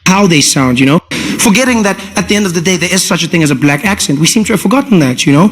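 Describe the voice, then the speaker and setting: High-voiced animated male comedian. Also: fast, breathy edge. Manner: animated, swinging into impressions. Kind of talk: stand-up comedy.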